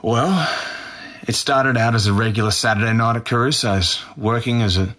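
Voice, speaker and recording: A man's voice: medium pitch, moderate pace, a bit bright, close to an everyday voice. Actor as young aussie guy in his 20s, defensive, earnest, slangy, like hes being interviewed as a suspect. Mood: casual, reminiscing. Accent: Australian. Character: defensive young Australian man, a murder suspect giving an interview. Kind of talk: naturalistic audio drama acting as an interview.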